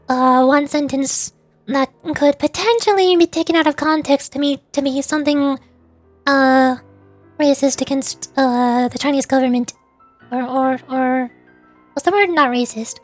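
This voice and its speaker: A woman's voice: sits high, no echo. A woman livestreamer chatting casually over a game in a playful, rambling way. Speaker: livestreamer, VTuber host. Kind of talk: casual chat while streaming a game. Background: faint music.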